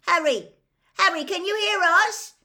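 A woman's voice: high-pitched, animated, normal speed, voice pushed up. A woman voicing a cheeky, sarcastic teenage wizard boy in a storybook reading, with a raised voice. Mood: calling, impatient.

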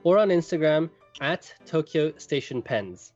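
A podcast host with a mid-pitched voice who interviews a guest casually and fills pauses with "um" and "uh". The recording sounds dull and lo-fi. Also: animated, darkish tone, faint music behind. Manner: friendly, with frequent fillers and backchannel murmurs.

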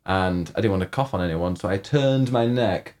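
A man co-hosting a casual podcast, slightly hoarse from coughing, rambling and teasing, often cutting himself off mid-sentence. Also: sits low, moderate pace, a little mellow. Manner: teasing, rambling, self-interrupting. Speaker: podcast co-host. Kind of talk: casual chat.

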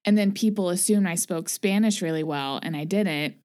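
A woman hosting a podcast discussion, gently asking questions and encouraging her guest. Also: on the low side, normal speed. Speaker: podcast host. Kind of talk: guided discussion.

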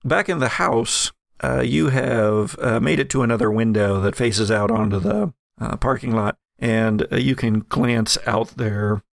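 A man's voice: medium pitch, unhurried, vocal fry, no echo, studio quality. A man narrating as game master of a tabletop game, describing scenes with frequent fillers.

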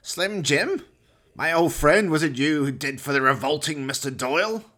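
A man's voice: a little high, lively intonation, normal speed, pushed and bright, voice pushed up. A man playing an evil scheming mad scientist in a horror radio play, theatrical, pompous and sneering. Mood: mocking menace. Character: evil scheming mad scientist doctor, mocking and prone to rage.

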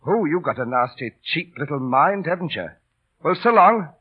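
An actor in an old radio drama playing a volatile, streetwise street performer with a rough voice and bossy, ungrammatical talk. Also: male voice, fairly high pitch, animated, normal speed. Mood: stern, scornful. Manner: bossy, emphatic, ungrammatical working-class talk. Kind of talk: 1930s-40s radio drama acting. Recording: tinny, band-limited vintage radio broadcast.

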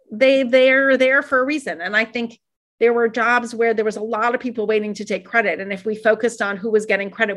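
A middle-aged woman from Iran, talking fast and animatedly as an interview guest, with many fillers and restarts. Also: fairly high pitch, a bit bright. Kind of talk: reflective interview conversation.

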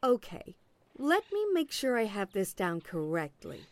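A woman's voice: medium pitch, big pitch swings, unhurried, darkish tone, no echo. A woman acting in an audio play as an officious agent, politely relentless and salesy, with veiled sarcasm. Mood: coolly pointed.

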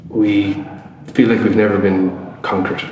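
A man speaks in a low, flat voice, pausing and repeating words as he gathers his thoughts. He is heard from a distance in a very echoey hall, with clear background noise. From Ireland. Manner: halting, repeats words and restarts phrases. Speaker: musician introducing a tune. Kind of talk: casual spoken introduction at a live performance. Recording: echoey live hall, distant mic, noisy.

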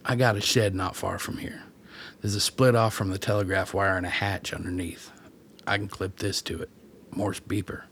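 An actor playing a blunt, matter-of-fact loner in a radio play, talking in a terse, unsentimental way. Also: male voice, low voice, steady tone, normal speed, fairly bright tone, a little hiss or hum. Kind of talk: radio drama acting.

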